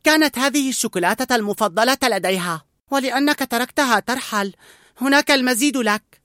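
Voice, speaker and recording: A storyteller voicing an earnest, excitable young boy scout who speaks in a pleading, eager way in a storybook reading. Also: high voice, fairly quick, dry recording, clean studio sound, pitched above the performer's own voice.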